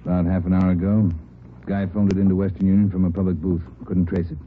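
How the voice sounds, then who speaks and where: An actor playing a no-nonsense male police chief who gives brisk, curt orders, in 1950s radio drama style. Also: low-pitched, little pitch movement, natural voice. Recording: tinny old radio broadcast with noise.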